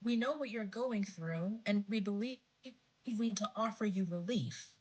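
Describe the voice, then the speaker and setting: A woman playing a brainy, matter-of-fact young woman in an audio drama, reading in a precise, deliberate way. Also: mid-range voice, breathy edge, close to an everyday voice, rough recording.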